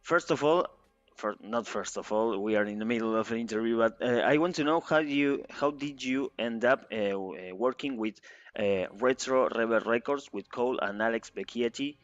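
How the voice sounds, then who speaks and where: A man's voice: medium pitch, no room sound, faint music behind. Hesitant male interviewer on a dull line. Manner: halting, hesitant, many restarts. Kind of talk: casual interview. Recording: dull lo-fi sound.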